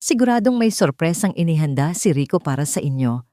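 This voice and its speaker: A woman's voice: low voice, big pitch swings, brisk, dark tone, no echo, clean studio sound. A woman with a Filipino accent voicing a fond, encouraging older mother and grandmother in an audio love story. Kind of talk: storybook drama dialogue.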